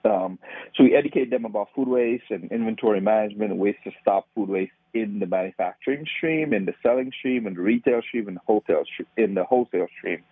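Husky Trinidadian man on a phone-like line. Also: lowish voice, fast talker, no echo. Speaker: nonprofit founder, interview guest. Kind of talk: explanatory interview talk. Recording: tinny phone-like line, dry.

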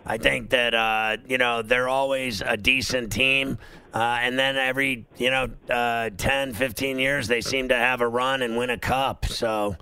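A man's voice: medium pitch, flat delivery, unhurried, bright, forceful tone, clear, resonant tone, no room sound. A man hosting a sports talk radio show, blunt and opinionated, rambling through fillers as he takes calls.

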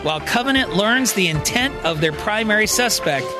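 Higher-voiced male storyteller over soft music. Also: expressive, normal speed. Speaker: storyteller, podcast writer and performer. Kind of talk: scripted dramatic narration.

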